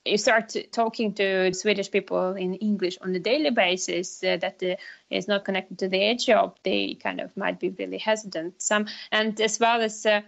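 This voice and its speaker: A woman with a Ukrainian accent speaks as a guest in a conversational interview. Her mid-pitched voice has noticeable vocal fry, and she repeats words for emphasis. The audio is a little dull. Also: steady tone, moderate pace.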